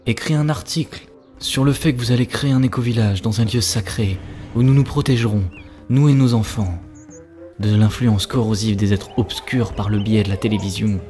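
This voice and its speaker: A man's voice: fairly low pitch, moderate pace, a little mellow, soft background music, close to an everyday voice. A man playing an earnest, devoted young spiritual seeker in love, in solemn cartoon dub dialogue. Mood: earnest, preaching.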